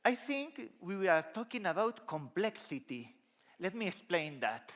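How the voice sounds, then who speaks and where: A middle-aged man from Spain with a Spanish accent, giving a lively conference talk on a large stage with a headset mic. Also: high-pitched, unhurried. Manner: lively, conversational, gesturing while walking the stage. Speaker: conference speaker.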